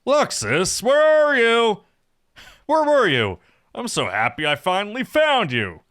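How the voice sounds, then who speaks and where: Man putting on an eager, high-pitched elf warrior. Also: big pitch swings, slow, no echo, studio quality, exaggerated voice. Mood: excited calling. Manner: eager, loud, earnest. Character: big naive eager male elf warrior, earnest and energetic.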